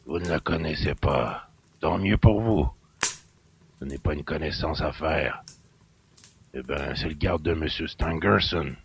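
A man voicing a surly, grumbling middle-aged country innkeeper with rustic speech and a gravelly growl. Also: a bass voice, a little slow, pauses often, a little hiss or hum, pitched below the performer's own voice, full character voice. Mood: gruff.

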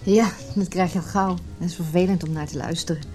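A woman's voice: on the low side, fairly even intonation, fairly quick, darkish tone, soft background music, close to an everyday voice. An actress playing an earnest, frank married woman in a radio play. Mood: reproachful, earnest. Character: sincere, earnest married woman named José.

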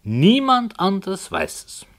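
An actor playing a sober, exacting narrator in a German radio play, speaking with deliberate insistence. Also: male voice, sits high, sing-song, fairly bright tone, heavily acted. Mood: insistent. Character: stern, exacting male narrator directing the play's characters.

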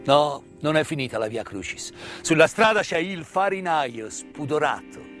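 A man delivers a theatrical narrative monologue as a stage storyteller, solemn and dramatic, with repeated words and weighty pauses. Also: on the high side, expressive, fairly bright tone, soft background music. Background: live musical accompaniment.

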